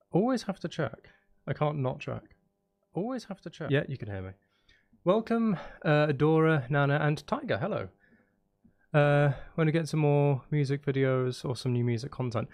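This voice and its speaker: A man's voice: on the high side, a little mellow. A man hosting a livestream, chatting casually in a rambling, self-interrupting way. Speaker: live streamer, channel host. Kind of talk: casual livestream chat, greeting viewers.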